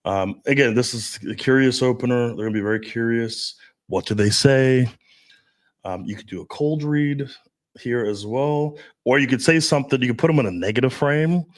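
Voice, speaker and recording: A man talking casually as a dating coach, brash and profane, telling stories with animated asides. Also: mid-range voice, normal speed. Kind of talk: casual podcast chat.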